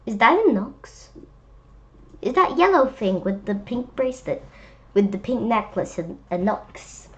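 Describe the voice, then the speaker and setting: A woman's voice: fairly high pitch, sing-song, unhurried, dull audio. A woman reading a children's book aloud, adding chatty, playful asides and speaking directly to young listeners.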